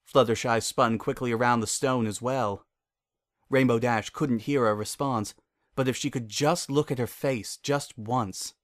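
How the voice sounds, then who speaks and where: Guy narrating a dramatic fanfic story, medium pitched voice. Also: steady tone, unhurried, no room sound, studio quality.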